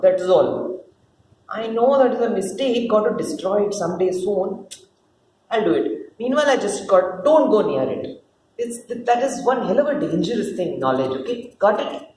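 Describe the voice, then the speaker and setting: A woman's voice: medium pitch, mellow, natural voice. A woman voicing God as a doting, chatty, motherly creator who fusses and instructs in a dramatic play reading. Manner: casual, instructing, fussing with interjections. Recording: roomy, distant mic, faint noise.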